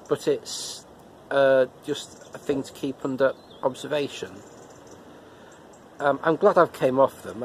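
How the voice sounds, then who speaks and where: A man's voice: medium pitch, a little hiss or hum. A middle-aged man with a head cold, talking casually to camera in a personal vlog, often repeating words as he restarts. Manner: chatty, halting with repeated words. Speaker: vlogger reviewing episodes. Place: living room indoors, and a car park outdoors at night.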